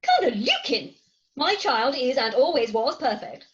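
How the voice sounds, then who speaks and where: Actress doing a posh-acting victorian wife, highish and very animated, proud and wheedling, bit dull online audio. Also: female voice, measured pace. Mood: indignant pride. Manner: theatrical, emphatic, grandly articulate. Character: imperious, coaxing Victorian English wife, thirty-six, proud. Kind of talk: comic Victorian stage farce reading. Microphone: remote home recording over video call.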